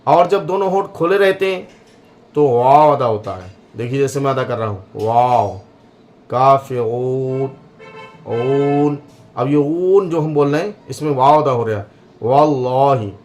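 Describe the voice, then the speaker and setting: A man giving a slow religious lesson on pronouncing letters, repeating each sound to demonstrate it. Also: medium pitch. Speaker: Quran teacher.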